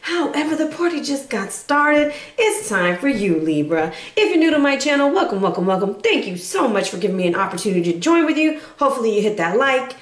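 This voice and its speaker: A woman giving a casual, sassy tarot forecast, chatty and playful, a little hoarse from recovering from bronchitis. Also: on the high side, sing-song, fairly quick, hardly any pauses. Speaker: tarot reader. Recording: echoey room.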